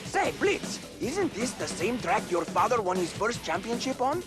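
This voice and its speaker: High, squawky talking bird commentator. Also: male voice, sing-song, normal speed, over music. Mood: showy. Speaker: race commentator. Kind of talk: video game cutscene acting.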